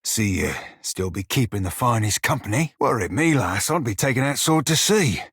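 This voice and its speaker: An actor voicing a wry, foul-mouthed pirate shiphunter in a video game, speaking a colloquial sailor's dialect with a gritty, husky voice. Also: male voice, mid-range voice, animated, moderate pace, a bit bright, no echo, clean studio sound. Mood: animated, teasing. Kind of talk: video game character dialogue.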